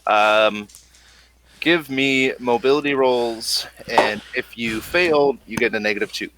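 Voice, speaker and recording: A man casually narrating a tabletop roleplaying game as game master, halting and thinking aloud in an informal way. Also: medium pitch, a bit bright, a little hiss or hum, rough recording.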